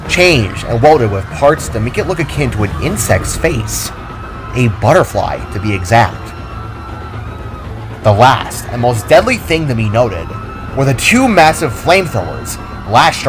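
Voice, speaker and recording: A man of middle pitch tells an action story with lively rises and falls. His expressive narration keeps the scene moving. Gentle music plays beneath the reading.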